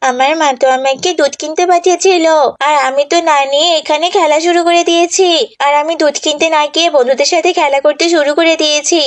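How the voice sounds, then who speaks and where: A woman's voice: high-pitched, fairly even intonation, fast, lightly acted. A woman voicing a cheeky, boastful young schoolgirl who tells lies in a children's moral cartoon. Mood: panicked. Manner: boastful, exaggerated, sly.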